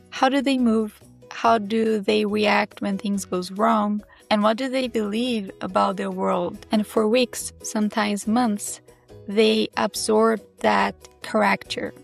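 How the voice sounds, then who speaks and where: A Brazilian woman, a native Portuguese speaker with a Brazilian accent, hosting her English-learning channel and talking in a calm, measured, candid way. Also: medium pitch, gravelly. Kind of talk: reflective teaching monologue to camera. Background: soft background music.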